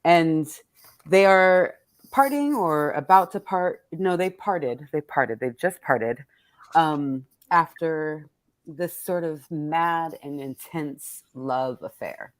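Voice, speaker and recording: A woman's voice: low-pitched, takes it slowly, dry recording. A woman speaking as a poet and host, casually and candidly introducing a poetry reading, salty-tongued and thoughtful as she searches for words.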